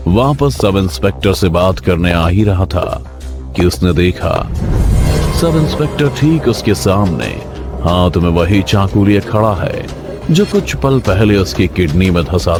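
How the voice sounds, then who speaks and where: A man narrates a scripted thriller in a deep voice. His delivery is dramatic and builds suspense. Music plays clearly behind him throughout. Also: expressive, a little slow. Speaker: storyteller. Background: prominent music.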